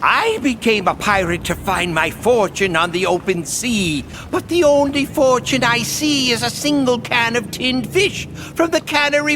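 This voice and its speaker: Grumbling pirate deckhand, swooping pitch. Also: male voice. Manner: complaining, theatrical pirate talk. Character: grumbling, bitter working pirate crewman. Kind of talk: scripted audio drama acting. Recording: rumbling background noise.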